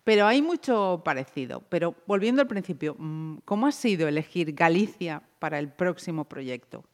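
Measured, low-pitched woman interviewer. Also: expressive. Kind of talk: radio-style interview.

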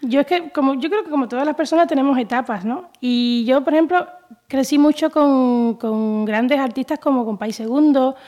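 A woman's voice: high voice, moderate pace, darkish tone, lo-fi sound. A woman in her twenties from Havana with a Cuban accent, chatting casually and playfully as an interview guest. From Havana, Cuba. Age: 20s. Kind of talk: casual chat.

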